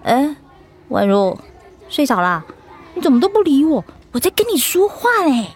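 A whiny teenage schoolgirl in a radio drama. Her voice is high and exaggerated, with a Taiwanese Mandarin accent. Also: big pitch swings, normal speed. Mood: pestering.

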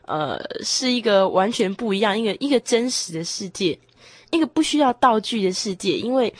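A young woman with a Taiwanese Mandarin accent explains things as a casual storyteller. Her voice sits at a middle pitch in a very dry recording, and she is conversational and animated. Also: no room sound. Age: young adult. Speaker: guest radio drama performer.

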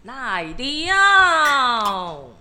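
A woman playing a gentle, reserved married sister-in-law in measured, polite Taiwanese opera dialogue. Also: high voice, very animated, exaggerated voice. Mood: composed, polite. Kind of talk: Taiwanese opera radio drama acting.

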